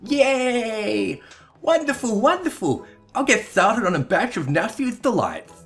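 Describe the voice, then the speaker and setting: A man's voice: sits high, big pitch swings, slow pace, creaky, a bit bright, soft background music, pitched above the performer's own voice, full character voice. A man voicing a bubbly, naive young female assistant from a video game, gushing and exclaiming. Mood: overjoyed.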